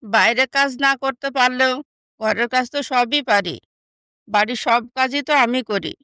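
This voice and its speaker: A woman acts out a soft-hearted, downtrodden young stepsister from an animated moral story. Her voice is high and smooth, recorded dry and close. She speaks submissively, as if always pleading to be accepted. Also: normal speed, dry recording. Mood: meek.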